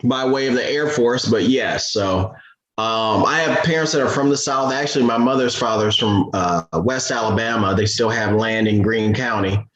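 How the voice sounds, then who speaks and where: A man from Detroit, a business founder, answers interview questions in a measured, thoughtful way with many pauses. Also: lowish voice, a bit bright, roomy sound, rough recording. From Detroit, Michigan.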